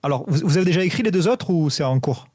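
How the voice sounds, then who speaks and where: A man's voice: a little high, lively intonation, fast, darkish tone, no echo. A French man hosting an interview, asking long rambling questions in a casual tone. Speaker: interviewer host.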